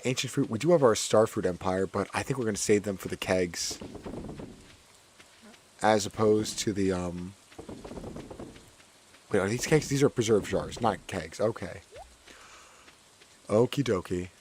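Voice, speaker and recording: A hoarse man with a fairly low voice talks his way through a farming game, his words cracking now and then. He chats loosely and says many lines twice. Faint noise sits under his voice. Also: a little slow, long pauses, a little mellow. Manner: chatty, repeats his own phrases. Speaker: gaming streamer. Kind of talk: casual gameplay commentary.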